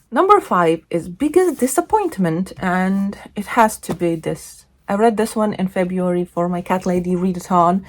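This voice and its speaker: A woman hosting a casual book chat to camera, with loose, halting phrasing and frequent self-corrections. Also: lowish voice. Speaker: book reviewer and video host.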